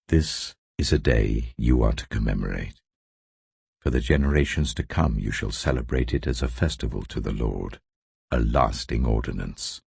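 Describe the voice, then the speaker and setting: Man narrating the bible, super low husky voice, solemn n deliberate, really moves up and down in pitch. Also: a little mellow, no room sound. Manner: solemn, deliberate, emphatic phrasing. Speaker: scripture narrator. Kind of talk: scripted audio Bible reading.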